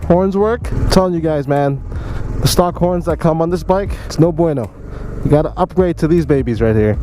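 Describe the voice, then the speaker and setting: A man tells a story in a loose, conversational vlog style. His voice is soft-edged and dark in tone, sitting a little higher than average. He is recorded outdoors on a motorcycle, with faint road noise behind his words. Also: moderate pace. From Canada. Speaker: motorcycle vlogger telling a story. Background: faint vehicle and road noise.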